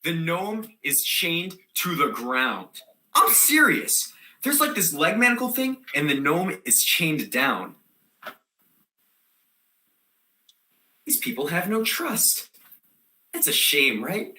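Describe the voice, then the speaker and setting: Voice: medium pitch, sing-song, a little slow, pushed and bright. An actor playing a scatterbrained, defiant theater student telling a comic monologue in slangy, rambling style, given to sudden outbursts. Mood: animated storytelling. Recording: echoey room.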